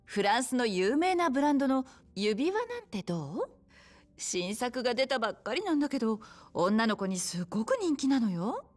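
An actress playing a friendly bar hostess in a video game cutscene, chatty and encouraging, with soft feminine phrasing. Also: female voice, fairly high pitch, sing-song, moderate pace. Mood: coaxing, helpful. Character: friendly, coaxing bar hostess, a familiar big-sister confidante.

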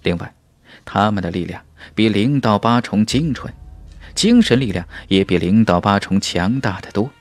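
A man with a fairly low voice reads a scripted fantasy story aloud. His intonation swings widely, with emphatic, dramatic phrasing. The sound is dry and close. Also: lively intonation, moderate pace, no room sound. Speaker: audiobook narrator. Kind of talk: scripted fantasy novel narration.

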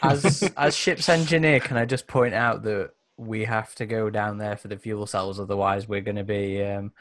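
A man's voice: lowish voice, fairly even intonation, moderate pace, a bit bright, dry recording. A man playing a tabletop roleplaying game online, bantering casually in character with a teasing, wry manner. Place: indoors at home on a group video call.

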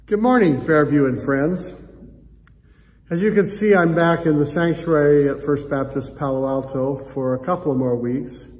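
An older man, a pastor, giving measured, formal welcoming remarks to open a worship service, recorded in a church sanctuary. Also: fairly high pitch, a little mellow. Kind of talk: scripted welcome and opening remarks. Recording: reverberant sanctuary with faint ambience.

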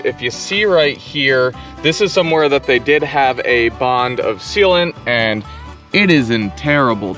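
Bearded guy in his thirties, middle-pitched voice, relaxed how-to explainer, arm's-length camera mic outdoors with faint music under it. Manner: relaxed, practical, talks straight to camera. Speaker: vlogger. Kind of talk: casual explaining how-to vlog. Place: outdoors on a camper roof in woods. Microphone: camera held at arm's length.

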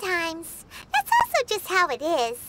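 A woman voicing a small childlike fairy companion with a squeaky voice in a video game. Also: wildly swooping pitch, normal speed, heavy background noise, heavily acted. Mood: subdued. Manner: chirpy, refers to self in third person. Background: children's style music.

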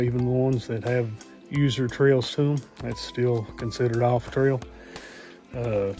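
A young man talks through a woodland hike in an easygoing, practical way, dropping frequent "uh" fillers. He is recorded outdoors on a phone held at arm's length. Faint music sits quietly underneath. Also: mid-range voice. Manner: relaxed, practical, many "uh" fillers. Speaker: hiking vlogger. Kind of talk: casual outdoor narration. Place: forest trail outdoors.

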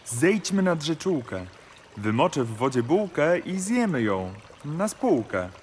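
An actor playing a poor, good-natured young orphan in a musical fairy-tale audio play, speaking in theatrical verse. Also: male voice, a little high, very animated, measured pace, a little mellow, slight background noise. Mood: kindly. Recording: music behind the voice.

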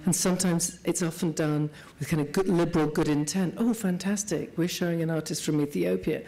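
Woman with a low, mellow voice. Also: steady tone, brisk, gravelly. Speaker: panelist. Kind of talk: panel discussion.

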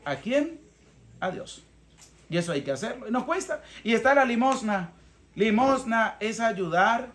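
High-voiced Venezuelan priest preaching. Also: male voice, fairly bright tone. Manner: emphatic, didactic, asks questions and answers them himself. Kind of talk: homily, plain colloquial preaching. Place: small rustic wooden room or shelter. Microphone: clip-on lapel mic.

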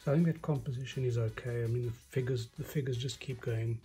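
A man's voice: mid-range voice, steady tone, quick pace, mellow, soft background music. A middle-aged man chatting casually as he hosts an art demo, musing aloud in an understated way. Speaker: artist and channel host giving a drawing demo. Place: living room at home, indoors.

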